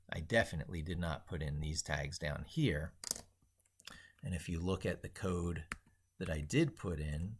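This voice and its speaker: A man hosting a casual tech livestream, thinking aloud in a rambling, emphatic way with a gravelly, husky voice. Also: low-pitched. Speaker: livestream host.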